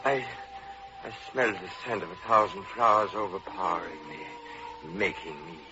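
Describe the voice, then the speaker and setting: An actor playing a haunted, obsessive middle-aged murderer in an old radio horror play, halting and breathless in delivery. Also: male voice, low voice, lightly acted. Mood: haunted, feverish. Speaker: first-person narrator and lead actor. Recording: muffled vintage radio recording, loud organ music.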